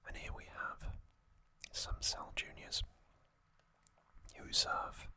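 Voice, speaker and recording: An adult ASMR host narrating in a soft, deliberate whisper, pausing often between short phrases. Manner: soft, deliberate, reflective with pauses.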